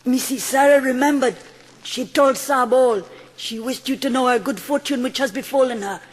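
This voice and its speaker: A man playing a devoted manservant in a dramatised storybook, speaking formal, halting English. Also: extremely high pitch. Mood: solemn, kindly. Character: Indian manservant, devoted and formal, bringing good news. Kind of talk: dramatised audiobook acting. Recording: long reverb.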